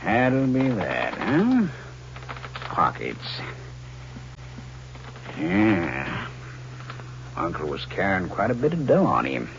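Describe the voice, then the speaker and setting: An actor playing a sly, greedy, cowardly killer in 1940s radio drama style. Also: male voice, low voice, huge pitch swings, takes it slowly, vocal fry, pauses often, heavily acted. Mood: sly scheming. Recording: dull, hissy vintage radio recording.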